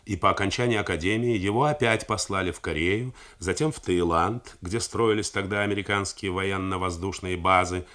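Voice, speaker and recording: A man narrating a novel in a measured, theatrical reading style for a 1980s radio broadcast. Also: sits low, a bit bright. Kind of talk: scripted literary narration.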